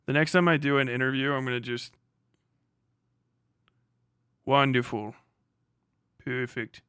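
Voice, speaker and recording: A man's voice: medium pitch, steady tone, moderate pace, a bit bright, clean tone, no echo, studio quality. A man hosting a livestream, chatting casually and off the cuff, muttering to himself and swearing freely. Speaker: livestream host. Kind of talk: casual unscripted livestream chat.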